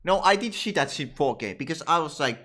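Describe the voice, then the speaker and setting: Male streamer, casual commentary, lively intonation, forceful tone, profane, rambling. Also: fairly high pitch, fairly quick. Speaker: streamer, content creator. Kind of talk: casual game playthrough commentary.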